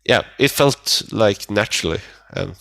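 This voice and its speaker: A man with a Swedish accent, a native Swedish speaker, chatting casually as an interview guest in halting phrases. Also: lowish voice, creaky, a bit bright, no room sound. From Sweden. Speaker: metal band vocalist, interview guest.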